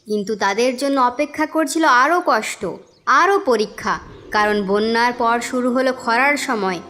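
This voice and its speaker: A woman's voice: fairly high pitch, unhurried, pushed and bright, a little hiss or hum. A woman narrator reading a scripted story for a cartoon in a storytelling style. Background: music.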